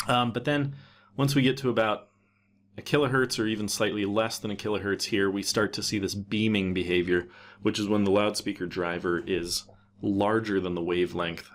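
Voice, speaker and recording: A man's voice: low-pitched, vocal fry, a bit bright, slight background noise. A man giving an unscripted technical tutorial, thinking aloud and explaining in a hesitant way. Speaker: tutorial presenter.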